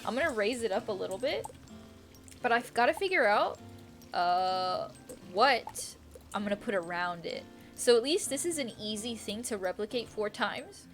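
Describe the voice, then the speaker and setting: A woman chats casually as she streams a game, with music playing faintly behind her. Her voice sits at an average pitch for a woman. She thinks out loud in a rambling, indecisive way, circling back over her own phrases. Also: animated, normal speed. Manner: rambling, indecisive, repeats herself, mild swearing. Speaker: live streamer, host. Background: quiet music.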